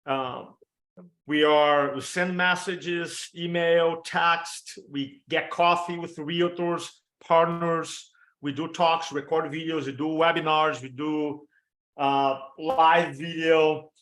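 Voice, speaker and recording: A fairly high-voiced man in his fifties with a Brazilian Portuguese accent, coaching an audience on a webinar. He talks with energy and rattles off lists of examples. The sound comes through a video call. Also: steady tone, slow pace, fairly bright tone. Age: 50s. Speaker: motivational speaker and storytelling coach. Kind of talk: training talk on a webinar.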